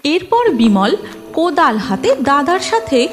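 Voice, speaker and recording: A woman narrates a storybook in an expressive, sing-song way. Her voice sits high and swings widely. Music plays clearly behind her. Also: a little slow. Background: background music.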